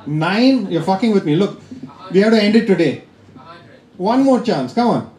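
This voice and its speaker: A man's voice: high voice, expressive, moderate pace, darkish tone. A man from India with an Indian accent performing a stand-up comedy set, teasing the crowd with a dry delivery. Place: comedy club stage. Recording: roomy live club sound.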